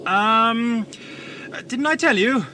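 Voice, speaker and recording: A man's voice: very high voice, lively intonation, very slow, sharp tone, exaggerated voice. A man playing an embittered, non-human time traveller in an audio drama, with a dry, wry, urbane manner. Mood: flustered. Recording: noisy amateur 1980s audio drama.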